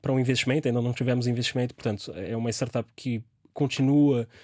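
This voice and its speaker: A young man with a Brazilian Portuguese accent answers interview questions about his company, searching for words and restarting sentences often. His pitch is middling for a man. The recording is close and dry. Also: steady tone, fast, a little mellow, dry recording. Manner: hesitant, many fillers and restarts. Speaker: startup founder.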